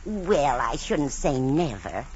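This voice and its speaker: A woman's voice: rumbling low, very animated, slow pace, a little mellow, full character voice. An actress playing a chatty, scatterbrained older landlady in a 1940s radio comedy. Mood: chatty, guileless. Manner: rambling, matter-of-fact. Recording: dull, slightly noisy old radio recording.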